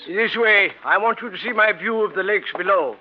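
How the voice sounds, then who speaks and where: An actor playing a small, brusque, eccentric millionaire recluse in a 1950s radio drama, imperious and given to barking orders. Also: male voice, high voice, moderate pace, a little hiss or hum, lightly acted. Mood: brisk, imperious. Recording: dull vintage radio recording.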